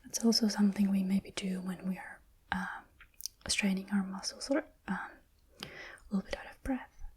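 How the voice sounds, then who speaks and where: A woman whispering a calm, guided breathing lesson, counting slowly aloud and explaining each step. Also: mid-range voice, slightly rough. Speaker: breathing instructor.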